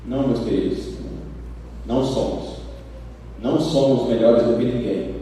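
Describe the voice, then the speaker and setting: A man with a Brazilian accent preaching a sermon, telling stories with lively acted-out quotes. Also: medium pitch, unhurried, far from the mic, slight background noise. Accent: Brazilian Portuguese. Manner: animated storytelling, acts out quoted voices. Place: church sanctuary. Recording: roomy church hall from afar.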